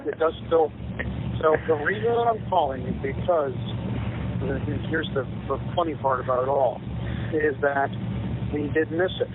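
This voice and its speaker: A man's voice: a little high, a little slow. A man explaining things as a government agent on an informal official call, restarting phrases, over a tinny phone line. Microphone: far end of phone call. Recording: quiet, tinny phone line, faint noise.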